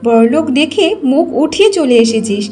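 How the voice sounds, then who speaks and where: A woman's voice: sits high, animated, brisk, warm tone, faint music behind. A woman voicing a haughty, bossy, stingy young daughter-in-law in a cartoon, scolding and commanding with contempt. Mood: scornful, annoyed.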